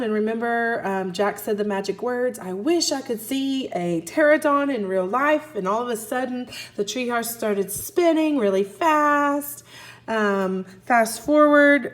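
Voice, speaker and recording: A woman with a fairly high voice reads a children's book aloud as a teacher would to a young class. Her delivery is expressive, and she steps in to recap and put questions to her listeners. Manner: expressive, asks listeners questions and answers for them. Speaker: first grade teacher. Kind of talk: children's read-aloud, recapping and narrating a story.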